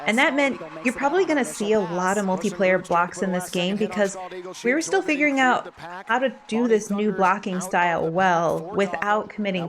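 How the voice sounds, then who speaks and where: A woman giving casual, playful sports commentary on a game, expressive and quick to act out what players might be thinking. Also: mid-range voice. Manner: playful, expressive, voices imagined thoughts of players. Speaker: roller derby commentator and former player. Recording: crowd noise behind the voice. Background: roller derby game crowd.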